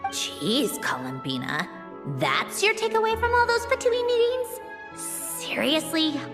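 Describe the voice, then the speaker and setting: Proud, easily flustered young woman for a game, hugely animated pitch, exasperated edge, music underneath. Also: a little slow, full character voice. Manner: tart, exasperated, sardonic.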